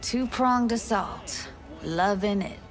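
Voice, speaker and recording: A woman's voice: medium pitch, lively intonation, slow, faint music behind. An actress playing a determined young techie woman rallying her crew in a video game, talking in clipped, informal street talk. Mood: resolute.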